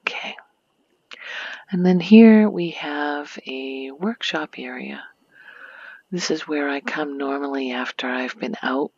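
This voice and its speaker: Soft, breathy woman giving an ASMR tour. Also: very deep voice, no room sound, lo-fi sound. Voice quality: soft, breathy, often near whisper. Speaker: video game streamer and ASMR creator.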